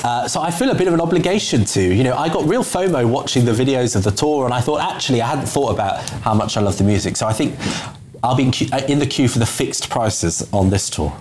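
Manchester guy on a panel, talks fast, faint noise behind. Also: mid-range voice, lively intonation, darkish tone. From Manchester, England. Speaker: studio panellist. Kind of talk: casual chat.

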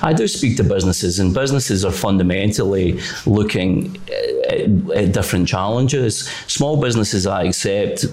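A middle-aged Scottish man, a further education college leader, who answers interview questions in a measured, careful, policy-minded way. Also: sits low, expressive, a little mellow. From Greenock, Scotland.

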